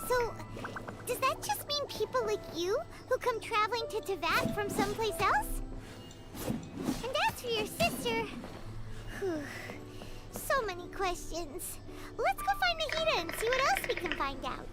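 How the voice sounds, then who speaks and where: A woman voicing a tiny, curious, chatty fairy companion in a video game, with a squeaky childlike voice and a perky manner. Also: very animated, a little slow, heavily acted. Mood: eager, chatty. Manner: perky, inquisitive. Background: prominent game music.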